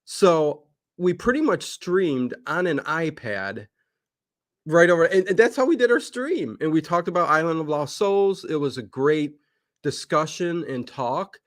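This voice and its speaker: A man who hosts livestreams, telling stories casually in an animated, chatty way and acting out remembered remarks. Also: a little high, measured pace, no room sound, clean studio sound. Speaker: livestream channel host.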